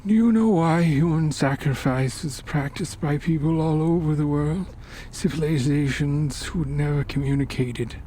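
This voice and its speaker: A man voicing a genteel, sinister older occultist in a horror story, measured, polite and condescending as he lectures. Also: fairly high pitch, warm tone, a little hiss or hum, voice pushed up, lightly acted. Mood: solemn lecturing. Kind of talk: horror story character dialogue.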